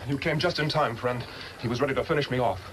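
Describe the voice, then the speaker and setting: A man's voice: mid-range voice, fast talker, lightly acted. Man playing an earnest young western hero. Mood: relieved, friendly. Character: earnest, plucky young man, indignant western mine heir. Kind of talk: 1950s western radio acting. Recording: noisy old 1950s radio recording.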